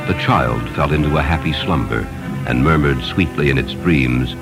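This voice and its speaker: A man reading a literary tale as a dramatic narrator, in a measured and solemn manner. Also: very deep voice, music in the background, poor audio. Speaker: audiobook narrator. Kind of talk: scripted dramatic storytelling narration.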